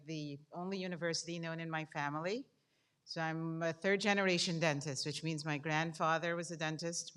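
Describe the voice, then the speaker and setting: An older woman speaks in a low register with a Guyanese accent. She gives an informal talk to an audience as a guest speaker and likes to involve her listeners directly. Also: a bit bright, smooth. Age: 50s or older. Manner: interactive, puts questions to the audience. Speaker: guest speaker, dentistry professor.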